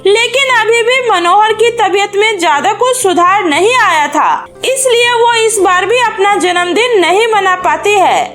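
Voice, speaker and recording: A woman's voice: very high-pitched, measured pace, hardly any pauses, fairly bright tone, faint music behind. A woman storyteller reading scripted narration for a cartoon story.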